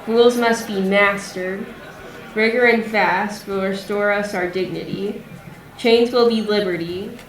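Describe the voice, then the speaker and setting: A teenage girl plays a stern female orator in a verse drama. She speaks slowly and sententiously in an echoey room, a little away from the mic. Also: medium pitch, fairly even intonation, distant mic, natural voice. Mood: stern, resigned. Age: teens. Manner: slow, sententious. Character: stern orator preaching submission to a master. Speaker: student actor.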